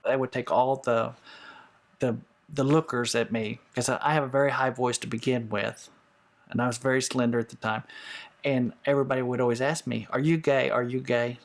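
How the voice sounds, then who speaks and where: A former Marine in a personal interview, talking frankly and in a rambling way, with a gravelly voice. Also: male voice, mid-range voice, steady tone, fairly quick.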